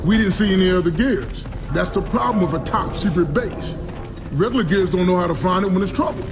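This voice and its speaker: A swaggering, wry soldier from a video game. He talks casually in a high, very animated voice, with music playing behind him. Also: a little fast. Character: brash, easygoing male soldier, former sports star. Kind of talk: video game voice acting, partly narrating events.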